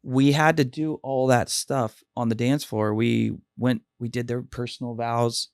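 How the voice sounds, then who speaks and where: A man hosting a casual podcast chat about weddings as a DJ, telling lively stories and acting out what people said. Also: mid-range voice, a little slow, creaky, clear, resonant tone, dry recording, clean studio sound. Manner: animated storyteller, acts out quotes.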